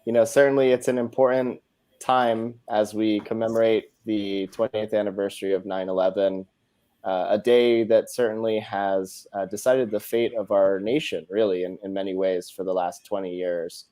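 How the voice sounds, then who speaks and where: A man moderating a panel discussion, speaking in a measured way with frequent filler words. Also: on the low side, level tone, dark tone.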